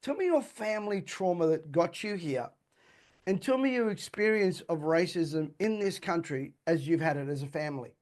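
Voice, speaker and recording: A man's voice: a little high, lively intonation, normal speed, darkish tone. A man hosting an interview, earnest and apologetic, whose first language is Calabrian. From Australia. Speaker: host.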